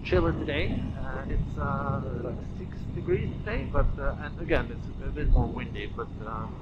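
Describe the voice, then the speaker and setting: A man rambling casually and haltingly, thinking aloud as he vlogs from a moving motorcycle. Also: medium pitch. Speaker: motovlogger. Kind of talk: casual rambling vlog while riding a motorcycle. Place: outdoors on a moving motorcycle on a road. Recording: muffled helmet audio, loud wind and engine noise.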